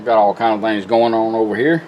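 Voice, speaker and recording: A man's voice: on the low side, steady tone, brisk, dull audio, background noise. A man giving a casual, hands-on product demonstration in a folksy, plain-spoken, instructional way. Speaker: radio restorer demonstrating a product. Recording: music playing behind the voice. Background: music from a radio.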